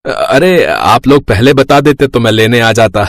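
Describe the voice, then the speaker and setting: An actor playing a mild, hesitant, accommodating middle-class husband and younger brother in a scripted moral story. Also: male voice, medium pitch, expressive, unhurried, dry recording, studio quality.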